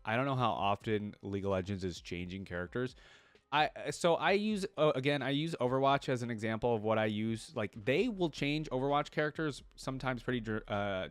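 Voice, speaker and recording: A man's voice: lowish voice, no echo. A man hosting a reaction video, talking casually and thinking aloud in a rambling way, often checking himself with a questioning tag. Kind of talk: casual reaction commentary to camera.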